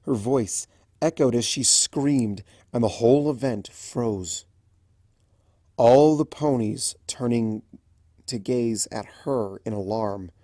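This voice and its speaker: A narrator reading a story aloud in an expressive, scripted storytelling style. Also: mid-range voice, takes it slowly, no echo, studio quality.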